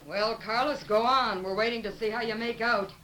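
A performer playing a tough, shrewd female boss of an outlaw gang in a radio western, brisk and bossy. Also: high-pitched, fairly even intonation, pushed and bright, slight background noise, rough recording. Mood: commanding. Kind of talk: 1950s radio western acting.

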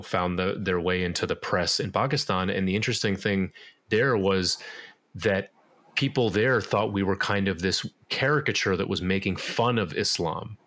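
A man in his thirties, a musician guest on a podcast, talking casually in a relaxed, rambling way with many fillers and restarts. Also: deep voice. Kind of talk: casual interview talk.

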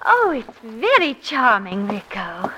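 A genteel young lady dancer of 1940s radio drama. Her high voice lilts with animated, hesitant exclamations over faint recording noise. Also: slow, full character voice, rough recording. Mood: charmed, polite. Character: polite, nervous young woman ballet dancer.

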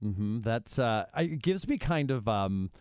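A man's voice: mid-range voice, lively intonation, a little slow, darkish tone, clean tone, dry recording, studio quality. A man hosting a casual interview chat in a playful, jokey manner. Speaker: podcast host.

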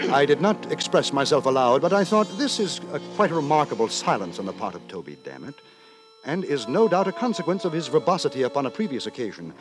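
An actor playing a prim, moralising gentleman who narrates in wordy, formal, mock-solemn 1950s radio drama style. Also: mid-range voice, very animated, quick pace, natural voice, rough recording. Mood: wry narration. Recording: 1950s radio, music behind.

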